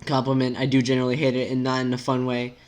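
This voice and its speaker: A man's voice: mid-range voice, completely flat delivery, fast, close to an everyday voice. A man voicing a vain, irritable, sarcastic teenage girl with a biting, emphatic delivery. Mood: snippy.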